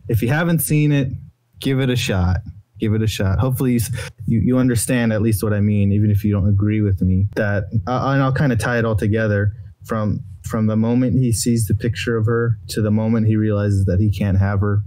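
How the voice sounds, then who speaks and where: Easygoing young man, mellow dry voice. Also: lowish voice, fairly even intonation, a little fast, no echo, low-quality recording. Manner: easygoing, laughs between remarks. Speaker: podcast co-host. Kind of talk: casual chat.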